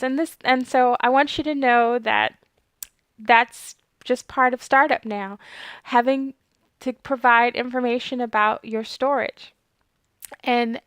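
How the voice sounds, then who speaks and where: Soft-voiced woman chatting into a headset, kinda high, smooth clear voice, little laughs here and there. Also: no room sound. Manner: chatty, with light laughs and restarts. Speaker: clinical research consultant and video host. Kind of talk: informal explainer talk to camera. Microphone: headset microphone.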